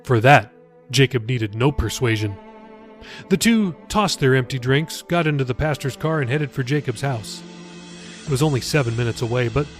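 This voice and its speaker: A man reading his own novel aloud as scripted audiobook narration. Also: mid-range voice.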